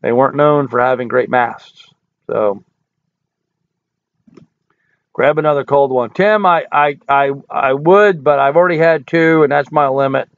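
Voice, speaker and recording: Hoarse older man, gravelly live-streamer. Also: medium pitch, moderate pace, no echo. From United States. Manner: folksy, nostalgic, rambling. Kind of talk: casual live stream chat reading comments. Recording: dry, tinny phone-like audio.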